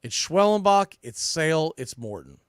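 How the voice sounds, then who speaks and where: A man with a slightly high-set voice talks through baseball as a podcast host. He speaks off the cuff in a conversational way, often revising himself mid-thought. The sound is dry, with very little room around it. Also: very animated, normal speed, clear, resonant tone, no room sound. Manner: conversational, thinking aloud with self-corrections. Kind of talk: sports preview commentary, off the cuff.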